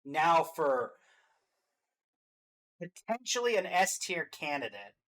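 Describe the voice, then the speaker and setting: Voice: mid-range voice, big pitch swings, slow, a bit bright, rough recording. A host giving casual, opinionated fan commentary in a reaction show.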